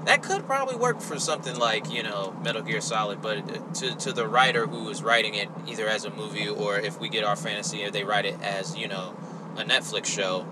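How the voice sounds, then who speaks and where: A man co-hosting a casual podcast, talking in a halting, exasperated, sweary way. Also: fairly low pitch, background noise. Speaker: podcast host. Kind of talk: casual conversational podcast chat.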